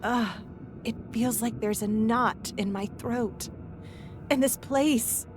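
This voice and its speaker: A woman's voice: fairly high pitch, lightly acted. An actress playing an earnest, determined female adventurer who guides her allies in a video game. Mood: uneasy, resolved. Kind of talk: video game cutscene acting.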